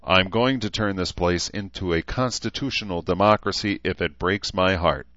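Guy doing a calm earnest leader type, slightly raised from his low voice, muffled audio. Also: normal speed, dry recording. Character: thoughtful man leader, measured and principled.

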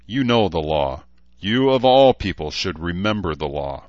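A man's voice: deep voice, sing-song, normal speed. A man voicing a haughty, ancient elf lord who speaks formally and imperiously, as a fantasy audiobook character. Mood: stern, cold. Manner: formal, imperious, archaic phrasing. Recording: dull, lo-fi, roomy sound.

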